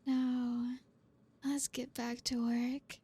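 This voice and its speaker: A woman playing a flirty, dominant boss in an ASMR roleplay, teasing and commanding in a soft, deliberate, often whispered voice. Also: high-pitched, little pitch movement, breathy edge, heavily acted. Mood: teasing, soothing.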